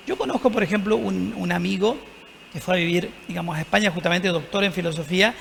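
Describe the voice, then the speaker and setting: A man's voice: sits high, fast, gravelly, a bit bright, big-room sound, a little hiss or hum. A man with an Argentine accent, a priest speaking earnestly and reflectively in a TV panel discussion. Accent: Argentine Spanish.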